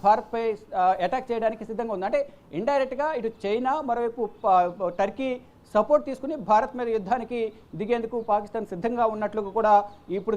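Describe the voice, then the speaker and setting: A woman presenting unscripted news commentary, repeating phrases and pausing on fillers as she goes. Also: on the high side, a little mellow, dull audio.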